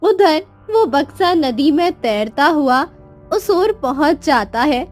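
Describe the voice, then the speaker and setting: A woman's voice: high-pitched, lively intonation, takes it slowly. A woman narrating a scripted children's story. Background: music.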